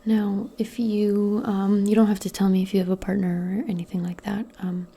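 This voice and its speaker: A woman doing a soft-spoken ASMR roleplay, mostly in a breathy whisper, with a gentle, reassuring and hesitant way of talking. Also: mid-range voice, flat delivery, moderate pace.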